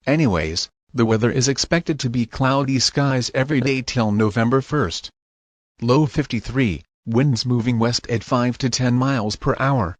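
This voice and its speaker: Guy announcer doing scripted emergency alert / news narration for horror, medium pitch, totally dry sound. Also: normal speed, no echo.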